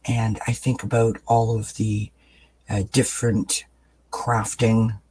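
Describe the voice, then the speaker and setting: A man with a raspy, just-woken-up voice, giving a gentle daily tarot reading in a soft-spoken, relaxed way with lots of fillers. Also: on the low side, slow, low-quality recording. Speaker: tarot reader. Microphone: camera mic, above-view camera.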